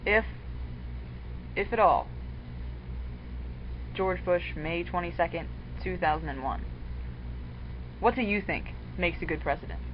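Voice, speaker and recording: A woman's voice: fairly low pitch, a little slow, long pauses, dull audio, a little hiss or hum. A woman narrating and putting questions to people in a plain, mildly wry way, recorded on a webcam microphone. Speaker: interviewer and narrator. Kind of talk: scripted narration.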